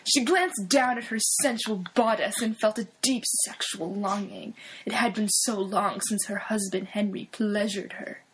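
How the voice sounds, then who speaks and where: A woman's voice: medium pitch, steady tone, measured pace, hardly any pauses, slightly rough, poor audio. A woman narrating a parody romance with a straight face, holding back laughter. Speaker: reader. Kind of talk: parody dramatic reading.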